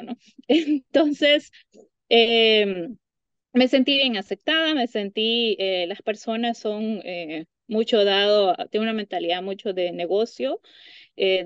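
A woman's voice: mid-range voice, clear, resonant tone, dry recording, muffled recording. A woman in her thirties, a psychologist, chatting in an interview over a video call, with lots of fillers and restarts. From Honduras. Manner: chatty, many fillers and restarts, laughs often. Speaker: psychologist guest. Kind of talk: casual interview conversation. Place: home room by a window. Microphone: video call webcam.

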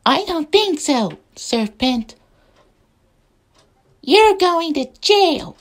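A plucky little cartoon rabbit girl acted by a woman, pitched high and bouncing around in tone. She is chirpy and eager, exclaiming and pleading as she goes. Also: very animated, extremely slow, exaggerated voice. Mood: excited, bold. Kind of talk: amateur cartoon voice acting.

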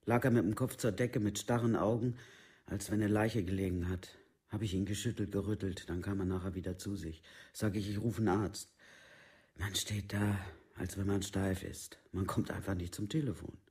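Low-voiced, plain-spoken, worried speaker man. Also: steady tone, normal speed, darkish tone, close to an everyday voice. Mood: shaken. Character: worried wife of a jobless man, counting money. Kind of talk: documentary radio play monologue.

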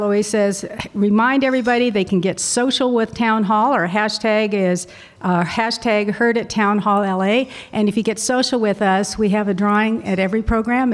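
A middle-aged woman hosting an event, giving a cheerful welcome speech at a podium in a large hall. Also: medium pitch. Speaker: host introducing speakers. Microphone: podium mic.

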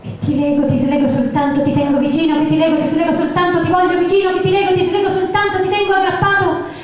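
A woman recites poetry dramatically in an extremely high-pitched voice. Her delivery is rhythmic and incantatory, built on insistent repetition. The recording is drenched in echo. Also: little pitch movement, fast talker, highs cut off, slight background noise. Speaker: poet performer. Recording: very echoey, reverberant sound.

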